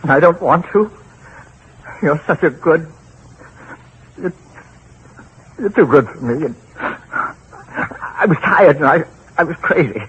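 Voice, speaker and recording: An actor playing a frantic, guilt-ridden husband in a 1940s radio horror play, halting and repeating himself melodramatically. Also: male voice, a little high, lively intonation, fairly bright tone, slightly rough, full character voice. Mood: desperate, remorseful. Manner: halting, repetitive, melodramatic delivery. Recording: muffled, tinny, hissy 1940s radio recording.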